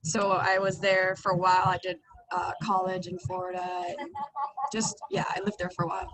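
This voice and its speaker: A young woman from Venezuela chatting as a dance guest in a casual podcast interview, quick to laugh. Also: mid-range voice, steady tone, gravelly, a bit bright, a little hiss or hum, low-quality recording.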